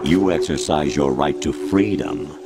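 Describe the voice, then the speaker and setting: An actor playing a cold, condescending male machine intelligence in a video game, lecturing in a measured way. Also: extremely low pitch. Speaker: game character. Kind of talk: video game cutscene voice acting. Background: loud dramatic music score.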